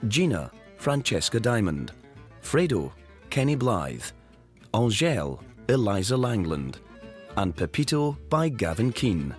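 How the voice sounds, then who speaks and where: Low, lively male radio announcer over music. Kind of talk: scripted closing credits.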